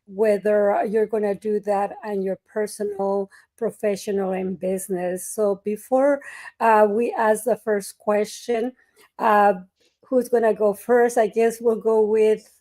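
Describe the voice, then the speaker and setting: Mellow grandmotherly woman from Mexico, host on a video call. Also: medium pitch. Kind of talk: casual live chat.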